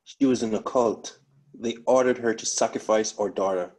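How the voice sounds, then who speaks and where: A man playing a bitter, guilt-ridden widowed police officer with a husky voice, in a dramatic script read-through. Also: lowish voice, steady tone, normal speed, a little mellow, natural voice. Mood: guilty, haunted.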